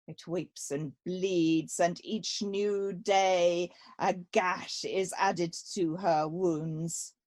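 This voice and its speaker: A woman playing a grave, self-accusing young exiled prince in a Shakespearean radio play, delivering verse deliberately with weighty pauses. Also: fairly low pitch, a little mellow. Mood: grave, sorrowful.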